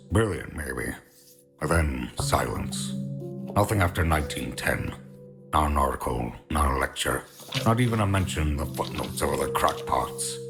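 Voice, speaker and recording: An actor playing a brooding, world-weary noir detective, narrating gravely and deliberately in a gravelly voice. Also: male voice. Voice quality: very deep, gravelly. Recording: music under the voice.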